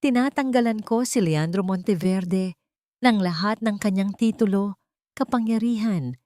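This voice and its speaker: A woman voicing a betrayed wife and heiress in a love-story narration, calm, formal and cutting. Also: mid-range voice, measured pace, no room sound, lightly acted. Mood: cold authority. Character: betrayed wife and heiress, cold, regal, commanding.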